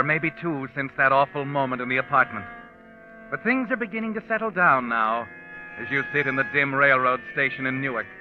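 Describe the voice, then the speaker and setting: An actor playing an ominous, all-knowing American narrator in 1940s suspense radio, brooding and deliberate, taunting the guilty man. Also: medium pitch, lightly acted. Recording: muffled old radio recording. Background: dramatic music.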